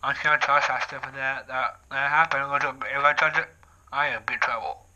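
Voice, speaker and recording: A young man vlogging from his living room, excitedly talking through a handheld bullhorn and often repeating and restarting his sentences. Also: medium pitch, moderate pace, highs cut off. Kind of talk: casual vlog to camera. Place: living room at home, indoors. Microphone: camera on tripod, talks through a handheld bullhorn.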